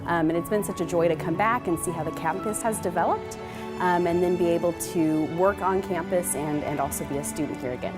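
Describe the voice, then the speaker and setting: Fast-talking woman graduate over music. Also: fairly low pitch. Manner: warm conversational, with fillers. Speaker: graduating student. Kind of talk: video testimonial. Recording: music bed underneath.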